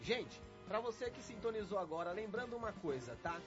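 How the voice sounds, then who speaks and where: A man with a Brazilian accent hosting a radio show in lively chat, speaking directly to the listeners. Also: sits high, brisk. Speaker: radio host. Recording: muffled band-limited sound over loud music. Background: music.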